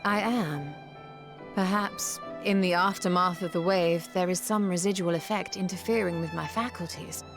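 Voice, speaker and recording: An earnest fantasy game mage, played by a woman, with a slightly low voice. She speaks thoughtfully and precisely, with soft music behind. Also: normal speed, natural voice. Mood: puzzled, intent. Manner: precise, formal. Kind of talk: scripted fantasy game acting.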